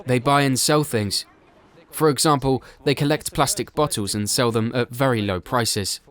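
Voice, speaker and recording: A man reading a scripted documentary voice-over, explaining things in an even, explanatory way. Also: medium pitch, moderate pace. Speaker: documentary voice-over narrator and translator.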